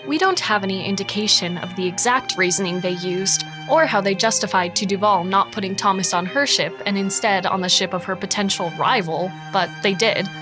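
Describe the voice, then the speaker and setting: An actress voices an analytical woman historian in a sci-fi audio drama. She weighs things in a measured documentary manner, with an average-pitched voice and clear music underneath. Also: natural voice. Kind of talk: scripted documentary-style audio drama narration.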